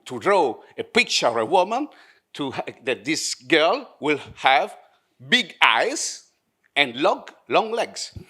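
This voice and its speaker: Male discussant, animated pitch, slightly high, joking asides, conference mic. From France. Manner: lively, joking asides, halting. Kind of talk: academic panel discussion. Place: conference hall.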